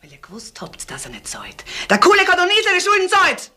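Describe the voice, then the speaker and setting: A woman's voice: very high voice, huge pitch swings, a little fast, over music, full character voice. A woman playing a tough, brusque, fearless woman in a crime radio play. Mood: furious. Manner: brusque, confrontational.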